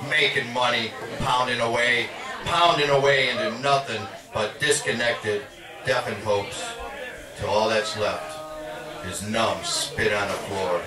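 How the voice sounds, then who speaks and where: A man reading poetry aloud at a live reading in a measured, dramatic spoken-word delivery. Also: lowish voice. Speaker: poet. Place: indoor literary cafe reading. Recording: echoey, distant live recording with audience. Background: audience sounds.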